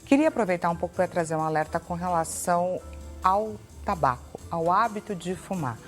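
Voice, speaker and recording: A woman's voice: lowish voice, expressive, fairly quick, faint music behind. A Brazilian woman hosting a TV interview, asking polite, formal questions. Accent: Brazilian Portuguese. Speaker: TV host.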